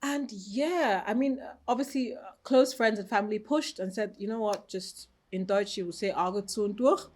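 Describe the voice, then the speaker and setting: A woman in her thirties, raised in Austria, answering interview questions calmly and reflectively in her boutique. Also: mid-range voice, normal speed, few pauses, slight background noise. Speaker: boutique owner, guest.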